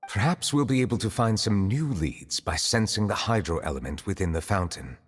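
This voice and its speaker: An actor playing a solemn, reserved man of high office in a video game, speaking in a formal, measured, reflective way. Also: animated, in a large hall, lightly acted. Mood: composed. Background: music.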